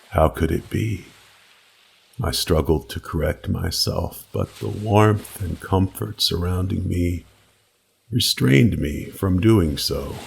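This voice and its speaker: A man narrating a scripted first-person horror story with deliberate, dramatic pauses. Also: low-pitched, creaky, a little mellow, breathy edge.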